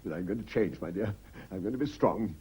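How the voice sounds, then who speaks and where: An actor playing an anxious, guilt-ridden husband in a radio drama, hesitant, stammering and earnest. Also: male voice, low voice, lively intonation, brisk, darkish tone, a little hiss or hum. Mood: remorseful, uneasy. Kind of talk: British radio drama acting.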